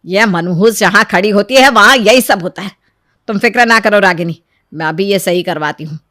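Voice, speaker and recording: A woman's voice: mid-range voice, normal speed, sharp tone, lightly acted. A woman playing a domineering, spiteful middle-aged mother-in-law in a story, scolding and bossy. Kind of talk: Hindi moral story voice acting.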